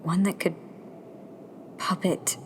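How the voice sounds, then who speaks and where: A woman playing a caring, thoughtful young rebel tinkerer in a sci-fi radio drama, hesitant and often hushed. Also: lowish voice, flat delivery, takes it slowly, slight background noise. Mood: hesitant, uneasy.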